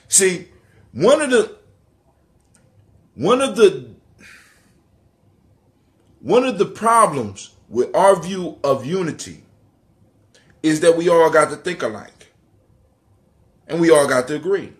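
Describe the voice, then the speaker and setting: A middle-aged American man hosting a casual livestream monologue, conversational, swearing casually and repeating phrases for emphasis. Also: a little high. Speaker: livestream host. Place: dim living room indoors. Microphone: phone or webcam at arm's length.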